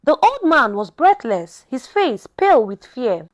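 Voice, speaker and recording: Female storyteller, high register, very lively intonation, scripted dramatic folktale read. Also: unhurried.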